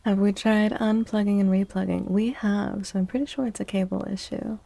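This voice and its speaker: Soft-spoken, creaky-voiced woman streamer. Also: medium pitch, fairly even intonation. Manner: chatty, unhurried, greets viewers by name. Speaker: live streamer hosting ASMR tarot stream. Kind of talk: soft-spoken ASMR livestream chat.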